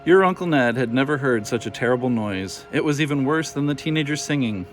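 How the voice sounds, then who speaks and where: A man's voice: medium pitch, animated, a little fast. Man reading children's stories over music. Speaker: storybook reader. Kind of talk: expressive children's story narration.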